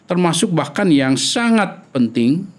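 A middle-aged man with a Sundanese accent, a guest epidemiologist speaking earnestly in a podcast interview, pausing with fillers and restarts. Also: on the high side, sing-song. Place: small studio with wood-slat wall. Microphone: desk mic on boom arm.

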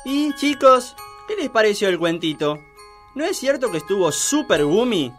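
A man's voice: sits high, big pitch swings, normal speed, a bit bright, soft background music. A man narrating a scripted mock children's tale as a storyteller.

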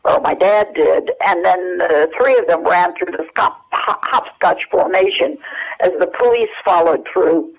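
A woman's voice: on the low side, unhurried, creaky. An older woman, a guest on the phone, recalling family stories in a rambling way with many fillers. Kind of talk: telephone interview. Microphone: telephone. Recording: muffled telephone line.

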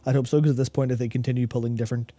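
A man's voice: medium pitch, little pitch movement, very fast, warm tone, no room sound. A young man co-hosting a gaming talk show, thinking aloud in casual discussion and often repeating words as he goes. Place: small indoor studio with acoustic foam panels. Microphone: broadcast mic on a boom arm, close.

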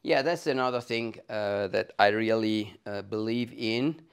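Male, middle-aged, Italian accent, low register, halting technical explainer on a lapel mic. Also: clear, resonant tone. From Italy. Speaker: loudspeaker designer being interviewed. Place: carpeted home listening room, indoors. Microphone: clip-on lapel mic.

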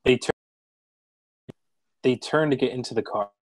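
A man's voice: mid-range voice, normal speed, no room sound. A man narrating the stage directions of a screenplay at a table read.

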